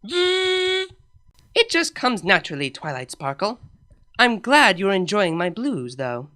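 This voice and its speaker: A woman's voice: mid-range voice, very animated, slow pace, sharp tone, voice pushed up, full character voice. A woman voicing a smug younger-sister pony princess in a comic storybook, teasing and self-satisfied, with a dry manner.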